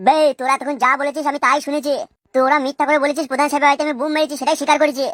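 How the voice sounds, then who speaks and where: A woman's voice: high-pitched, little pitch movement, very fast, dry recording, lightly acted. Comic cartoon prisoner: female actor playing a stubborn, taunting male crony, breakneck delivery, dry sound. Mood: stubborn resignation. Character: defiant, wronged male captive, stubborn village crony.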